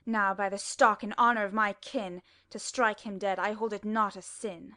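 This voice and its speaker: A woman's voice: mid-range voice, fairly even intonation, moderate pace, pushed and bright, lightly acted. A woman playing a hot-tempered, proud young nobleman, delivering Shakespearean verse with clipped scorn. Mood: menacing scorn. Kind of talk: theatrical Shakespearean verse acting.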